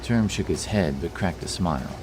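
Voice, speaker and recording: A man's voice: low voice, expressive, normal speed, noisy background. A man reading scripted narration for a fantasy audiobook. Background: music.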